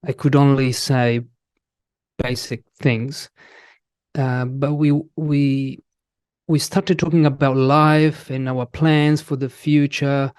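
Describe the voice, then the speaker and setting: Slow, halting Italian man. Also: medium pitch, little pitch movement, a little mellow, smooth, no echo. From Italy. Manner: slow, halting, many restarts and fillers. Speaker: guest, English teacher. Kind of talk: casual interview.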